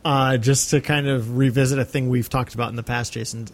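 Dude hosting a movie podcast, casual but really into it, earnest, average pitch. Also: male voice, fairly even intonation, a little fast. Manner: enthusiastic, earnest. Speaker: podcast host. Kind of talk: casual film discussion.

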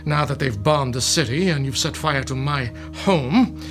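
A man's voice: mid-range voice, moderate pace, over music, natural voice. A man playing a smug gloating mad scientist. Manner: smug, theatrical, gloating with soft laughs. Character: gloating male mad scientist villain, fungus-obsessed. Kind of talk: radio drama acting.

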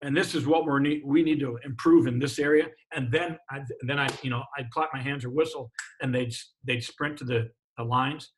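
A man's voice: medium pitch, fairly even intonation, brisk, few pauses, a little hiss or hum, low-quality recording. A middle-aged man, a basketball coach, talking practice in casual chat, emphatic and often restarting his sentences. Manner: emphatic, repeats words while restarting sentences.

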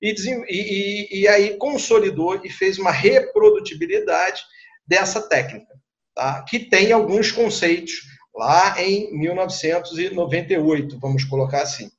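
Middle-aged male guest expert, Rio accent, slightly raised pitch, conversational explainer with repeated words. Dull lo-fi recording. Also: animated, moderate pace. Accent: Rio de Janeiro Brazilian Portuguese. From Rio de Janeiro, Brazil. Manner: conversational, repeats words and adds tag questions. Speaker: guest surgeon. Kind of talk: explanatory recounting in an interview.

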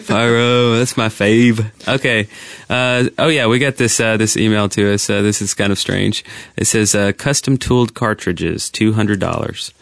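Male co-host, lowish voice, close dry sound, informal podcast banter. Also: fairly even intonation, moderate pace, vocal fry, hardly any pauses, a bit bright, no echo. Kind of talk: casual group chat.

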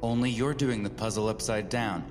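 An actor playing a blunt, wry antiquities appraiser in an audio drama, plain-spoken and defiant. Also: male voice, on the low side, normal speed, in a large hall, over music, close to an everyday voice. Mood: shrewd.

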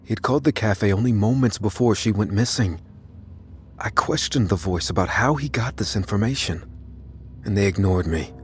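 An actor playing an anxious, unassuming man who narrates his hunt for a killer in an audio drama, measured and confessional. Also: lowish voice, natural voice. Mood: tense, measured. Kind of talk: first-person dramatic narration in an audio thriller. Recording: music under the voice. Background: music.

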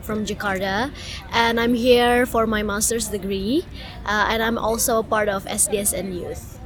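A woman's voice: mid-range voice, fairly even intonation, measured pace, a crowd in the background. A woman from Indonesia, a graduate student, introducing herself in a friendly way at an outdoor picnic table. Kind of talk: outdoor interview.

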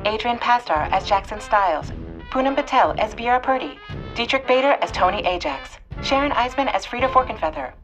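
A woman with a fairly high voice reads scripted credits as an announcer. Music plays clearly behind her. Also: expressive, brisk, muffled recording.

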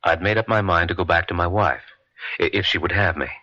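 An actor playing a reluctant war correspondent on the witness stand in an old radio drama, answering tersely and formally. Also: male voice, low-pitched, brisk, pushed and bright, exaggerated voice. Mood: reluctant, restrained. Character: reluctant male war correspondent testifying about his affair. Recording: dull lo-fi vintage radio.

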